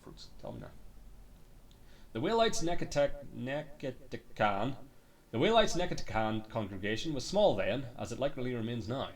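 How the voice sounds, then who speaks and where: Man, game streamer, theatrical book reading, lively swooping intonation, sweary muttered asides, slightly off-mic. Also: mid-range voice, normal speed, far from the mic. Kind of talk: dramatic reading of in-game books.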